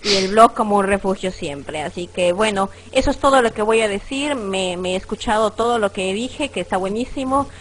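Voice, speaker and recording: A woman's voice: mid-range voice, expressive, brisk, hardly any pauses, noisy background. A woman with a nasal voice, chatting casually as a guest on an online show and rambling through her goodbyes with laughter. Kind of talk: casual online radio chat.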